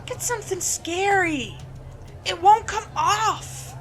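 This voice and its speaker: Woman acting out a panicked, high-pitched teenage boy. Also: slow, slight background noise, heavily acted. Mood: distressed. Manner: pleading, yelling. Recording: music behind the voice.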